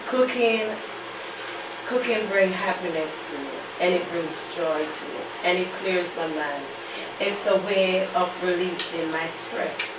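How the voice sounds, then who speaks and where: A woman's voice: fairly low pitch, a little slow. A middle-aged woman telling personal stories as an interview guest, emphatic and repeating key phrases for weight. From Kingston, Jamaica. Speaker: interview guest, aspiring chef. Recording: echoey, distant mic with background noise.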